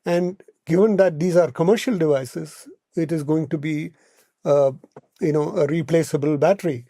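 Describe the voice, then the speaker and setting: Mellow, higher-pitched Indian-accented man. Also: fairly even intonation, moderate pace, no echo, studio quality. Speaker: podcast guest, policy analyst. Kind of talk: explanatory podcast discussion.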